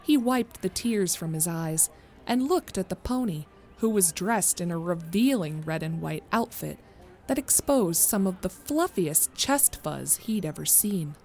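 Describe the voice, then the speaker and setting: Lower-pitched woman narrating a story. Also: lively intonation, measured pace, a little mellow.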